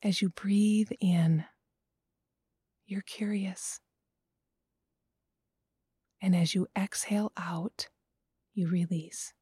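Slow, soft-whispering meditation guide woman. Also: fairly low pitch, fairly even intonation, breathy edge, dry recording.

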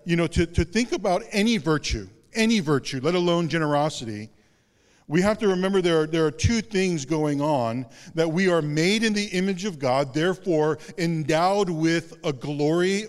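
A male pastor giving a sermon in a conversational, self-deprecating way with casual asides. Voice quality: deep voice. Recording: long hall reverb.

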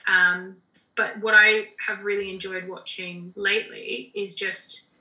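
Bright-voiced Australian woman, chatty. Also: medium pitch, flat delivery, a little slow, echoey room, poor audio. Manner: chatty, lots of fillers and restarts. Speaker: podcast guest, general manager. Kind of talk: casual interview talk.

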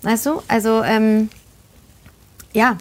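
A woman in her forties, an actress and voice actor, talking as a guest in a casual chat, animated and quick to laugh. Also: a little high. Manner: animated, laughs easily, talkative.

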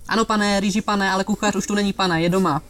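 A man's voice: high-pitched, steady tone, fairly bright tone, pitched above the performer's own voice. A man voicing a meek, endlessly polite young male waiter who tacks "sir" onto every phrase, in a raised voice. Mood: apologetic.

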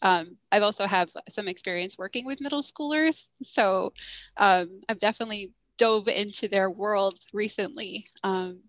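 A woman's voice: medium pitch, normal speed, fairly bright tone, no echo, low-quality recording. A woman guest, a school counselor and author, answering interview questions in a friendly, thoughtful way with frequent ums.

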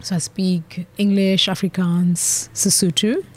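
A woman's voice: lowish voice, level tone, measured pace. A young South African woman chatting loosely in an interview, full of "like" and "um". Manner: loose, hesitant, frequent "like" and "um". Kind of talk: casual interview.

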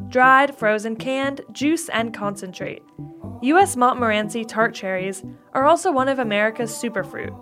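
A woman's voice: on the high side, a bit bright. A woman reading a scripted sponsor ad in a polished, upbeat way. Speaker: ad reader. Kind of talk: scripted ad read.

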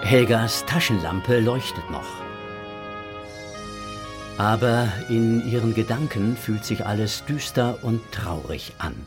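A man's voice: lowish voice, takes it slowly, over loud music. A man narrating a scripted audio drama for children.

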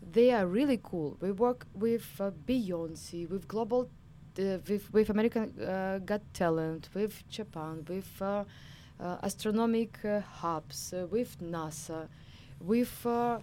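A woman's voice: mid-range voice, darkish tone, slight background noise. A woman from Ukraine speaking emphatically in a studio discussion, posing rhetorical questions. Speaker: studio guest.

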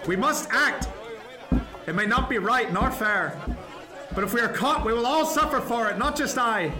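A man's voice: very high voice, moderate pace, over music. An actor playing a defiant, commanding first mate in a radio drama, delivering earnest oratory to his crew. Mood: resolute. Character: defiant mutinous first mate, a commanding male ship's officer. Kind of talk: serial radio drama acting. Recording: noisy scene with loud crowd behind.

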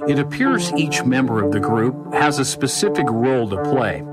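A man playing a serious, measured announcer in a dystopian mystery audio drama trailer. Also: medium pitch, very animated, lightly acted. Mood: grave, ominous. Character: male announcer gravely reporting a dystopian mystery. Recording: loud brassy trailer music. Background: loud dramatic brass music.

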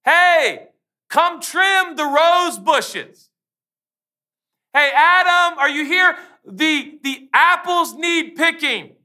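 A shrill, nagging male boss-God calls out chores in a high, loud, mock-demanding voice, as a preacher puts him on. The tone is bright and piercing, with pitch shooting well above an ordinary speaking voice. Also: lively intonation, very slow, full character voice.

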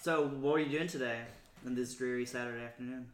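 A man's voice: medium pitch, fairly even intonation, quick pace, slight background noise. A man from Puerto Rico in a casual podcast chat, curious and agreeable, asking lots of questions. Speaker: podcast co-host. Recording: roomy echo.

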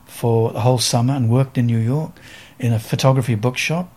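A man around seventy with a Welsh accent, a photographer telling stories in an interview in a reflective, halting way with many pauses and fillers. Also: medium pitch, a little mellow. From Wales. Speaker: photographer guest.

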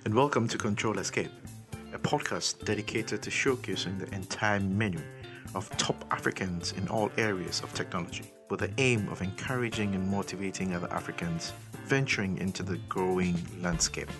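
A man's voice: deep voice, normal speed, over music. A man hosting a podcast, welcoming his guest in a formal, courteous way with frequent fillers and restarts. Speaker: podcast host. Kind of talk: podcast interview.

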